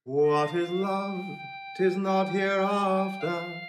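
An actor playing a gentle, wistful jester, singing a lyrical love song in a Shakespearean audio drama. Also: male voice, high voice, fairly even intonation, extremely slow, music in the background. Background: musical instrument.

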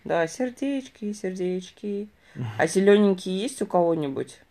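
Female livestream co-host, thirties, low and gravelly voice, informal chat on a phone. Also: expressive, measured pace, mellow. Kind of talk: casual chat. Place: small room indoors, lying back on pillows. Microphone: phone at arm's length.

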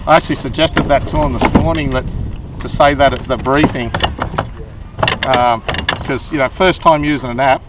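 A man with an Australian accent chatting casually and haltingly while fishing from a kayak, recorded outdoors on an action camera. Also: mid-range voice. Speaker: kayak angler and vlogger. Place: outdoors on a kayak on open water. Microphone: action camera mounted on the kayak. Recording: loud wind and water noise, clicks. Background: wind, boat and water noise.

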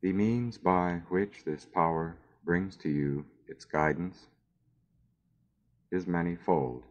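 A man narrating a scripted guided meditation in a measured, soothing way with long pauses, on a dull recording. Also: low-pitched.

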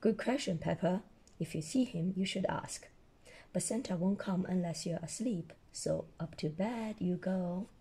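A woman voicing a confident, reassuring father pig from a children's storybook, with a hoarse voice. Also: lowish voice, a little slow, dark tone. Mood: fatherly. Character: Daddy Pig, encouraging father pig.

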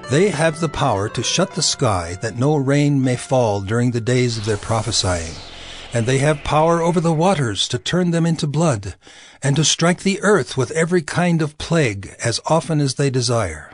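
A male narrator with a medium-pitched voice reads scripture dramatically. His storytelling is solemn and deliberate, with loud music behind him.

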